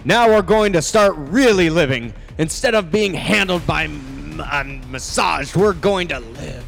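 Exasperated dad in old-time radio drama. Emphatic, animated, higher register, faint music underneath. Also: male voice, a little slow, gravelly, exaggerated voice. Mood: stern. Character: stern, exasperated father of two, increasingly frantic.

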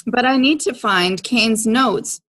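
A woman's voice: fairly high pitch, takes it slowly, a bit bright. A woman player roleplaying a dramatic scene in character during a tabletop game.

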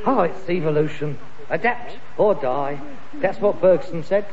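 An actor playing a boastful, exasperated son who deals in rag and bone, emphatic and cajoling, with a working-class London accent in a British sitcom. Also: male voice, a little high, a little slow, a little mellow. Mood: cold, resolute. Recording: muffled, reverberant, hissy vintage sitcom recording.

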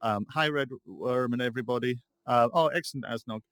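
A man's voice: medium pitch, a little fast, a bit bright, smooth, no echo. A man with a mid-range voice co-hosting a gaming podcast. He fills his talk with ums and uhs and laughs often. The recording is dry and close. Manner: lots of um and uh, laughs easily. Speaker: podcast co-host. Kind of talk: casual chat.

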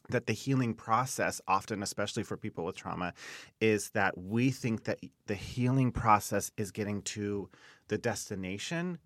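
A man's voice: lowish voice, a bit bright, dry recording, studio quality. A man in his late thirties talking candidly on a podcast, speaking emphatically, with repetition and casual swearing. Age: late 30s. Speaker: podcast host. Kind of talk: candid personal conversation.

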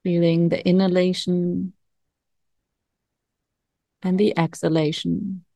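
A woman leading a guided meditation as a coach, giving calm, soothing instructions with long pauses. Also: lowish voice, gravelly, no room sound, clean studio sound.

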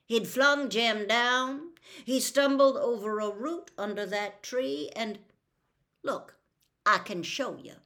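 A blunt, insistent small-town sheriff, as a woman reader puts him on, stubborn and drawling. He speaks louder and slower than the narration around him, with plain country phrasing. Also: fairly high pitch, voice pushed up. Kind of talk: audiobook dialogue reading.

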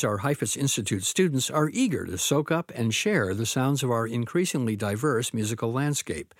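A man hosting a classical music radio program, reading scripted narration in a smooth announcer delivery. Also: medium pitch, no room sound, studio quality. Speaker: radio host.

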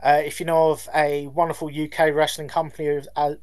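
Polite singer, measured answers. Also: male voice, a little high, completely flat delivery, darkish tone. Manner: measured, polite, some hesitations. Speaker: band lead singer, guest. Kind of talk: casual interview answers.

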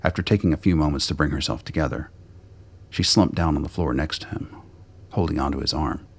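A man reading scripted dramatic narration for a radio play, with a gravelly, husky voice. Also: extremely low pitch, fairly quick.

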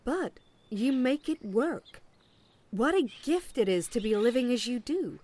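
Chirpy, officious female agent, lively swooping pitch. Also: unhurried. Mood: bright, officious. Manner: over-polite, saleswoman-like cheer. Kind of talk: audio play acting.

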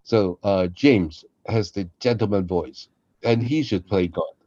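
A man co-hosting a casual chat in a halting manner, often repeating his phrases. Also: fairly low pitch, warm tone, no room sound. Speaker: podcast host, voice performer. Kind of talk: casual behind-the-scenes chat. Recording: slightly dull, lo-fi sound.

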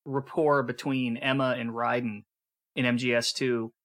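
A man co-hosts a casual podcast and explains things eagerly. His voice sits mid-pitch and sounds bright. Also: flat delivery, unhurried. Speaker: podcast co-host. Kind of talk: casual chat.

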